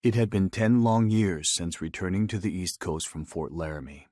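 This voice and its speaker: An actor with an American accent playing an earnest, principled frontier war veteran in a video game, serious and sincere. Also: male voice, deep voice, moderate pace, mellow, no echo, clean studio sound, natural voice. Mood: reflective, serious. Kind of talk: video game cutscene acting and narration.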